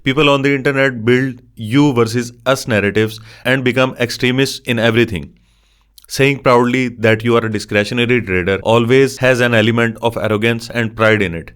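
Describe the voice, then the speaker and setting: A man's voice: mid-range voice, fairly even intonation, brisk, fairly bright tone, smooth. A man whose first language is Urdu, narrating a scripted explainer in an earnest, opinionated way and addressing the viewer directly. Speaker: trading educator.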